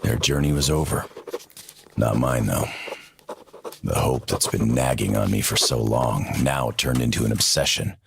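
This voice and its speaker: An actor playing a weathered middle-aged American soldier, reflective and determined, narrating his longing for home in a video game monologue with a gravelly, very deep voice. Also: background noise, full character voice. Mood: reflective, resolute. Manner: reflective, measured.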